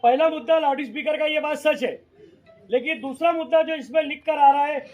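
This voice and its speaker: A man's voice: extremely high pitch, fairly quick, darkish tone, faint music behind. A man moderating a community meeting, explaining points off the cuff and checking with his listeners. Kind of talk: impromptu public address.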